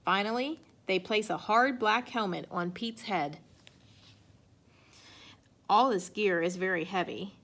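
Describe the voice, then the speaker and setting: A woman reading a children's picture book aloud in an expressive way. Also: mid-range voice, slow, long pauses. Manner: expressive, acts out sound effects and exclamations. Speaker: storybook reader.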